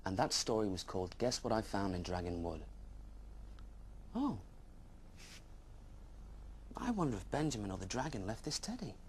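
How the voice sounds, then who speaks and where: A man telling a bedtime story to young children, gently addressing the listener with questions. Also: lowish voice, sing-song, normal speed, long pauses, slight background noise.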